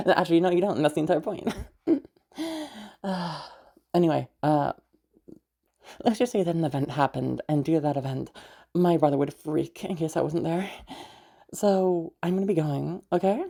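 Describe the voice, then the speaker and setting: Woman acting a husky, low-voiced secretive young woman. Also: normal speed, pauses often, warm tone. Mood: awkward, coy. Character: friendly, flustered young woman hiding an obsessive dark side. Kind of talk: roleplay audio acting addressed to the listener.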